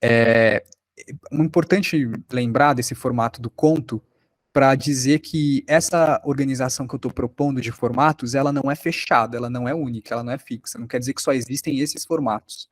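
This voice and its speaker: Brazilian male workshop teacher, explanatory and informal, mid-pitched, closely recorded with little room sound. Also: brisk, no echo, clean studio sound. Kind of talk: informal online lesson.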